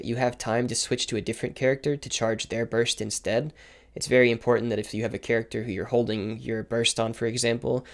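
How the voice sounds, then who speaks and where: A man with a slightly hoarse voice, giving a quick, informal explanation of game mechanics with asides and self-corrections. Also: medium pitch. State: slightly hoarse from repeated takes. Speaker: game guide creator. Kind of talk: explaining game mechanics over gameplay footage.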